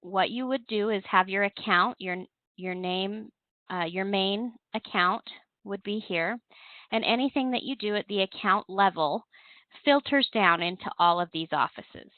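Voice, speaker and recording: A woman's voice: medium pitch, measured pace, dry recording. Woman presenting over a thin phone line. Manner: explanatory, step by step. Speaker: presenter, compliance software trainer. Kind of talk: instructional webinar walkthrough, semi-scripted. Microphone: telephone conference call.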